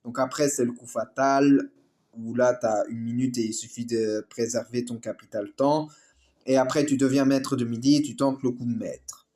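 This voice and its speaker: A man's voice: medium pitch, fairly even intonation, normal speed, warm tone. Rambling French reaction youtuber man. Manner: rambling, lots of fillers. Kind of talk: casual reaction commentary.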